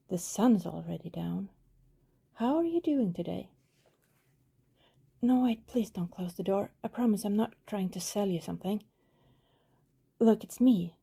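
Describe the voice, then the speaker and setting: A woman playing a friendly, apologetic vampire who poses as a shy neighbor in an intimate ASMR roleplay, rambling hesitantly in a soft, husky, breathy voice. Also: medium pitch, full character voice. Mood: awkward, friendly.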